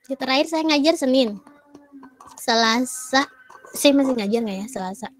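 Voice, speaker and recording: A woman's voice: high voice, animated, normal speed, slight background noise. A woman giving a casual lecture with many fillers and English terms, recovering from a cough. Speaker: university lecturer.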